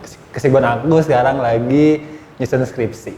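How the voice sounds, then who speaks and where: A young man in his twenties, a student, who answers in a calm, measured way. His voice has a middle pitch and sits in an echoey room with a long reverb. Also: slight background noise. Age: 20s. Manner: thoughtful, measured. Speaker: university student. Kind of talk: casual interview answers.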